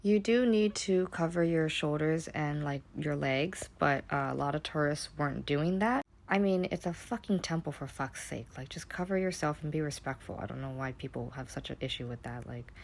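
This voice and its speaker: Vlogger, young American woman, deep with vocal fry, chatty and unfiltered, swears freely. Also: moderate pace, few pauses. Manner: chatty, rambling, heavy use of "like", casual swearing. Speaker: travel vlogger. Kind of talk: casual travel vlog voice-over.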